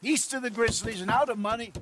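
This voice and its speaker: An actor with an American accent playing an aging, wry, plain-spoken outlaw adviser in a Western game. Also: male voice, very high voice, fast talker, fairly bright tone, a little hiss or hum. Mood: worried. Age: older. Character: aging male outlaw, wry, worldly-wise, worried adviser. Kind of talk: dramatic Western video game acting.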